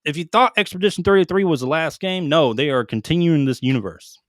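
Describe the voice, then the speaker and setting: A man co-hosting a casual gaming podcast, reading headlines aloud with quick asides and stumbling over foreign names. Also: fairly high pitch, no room sound, studio quality. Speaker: podcast co-host reading news.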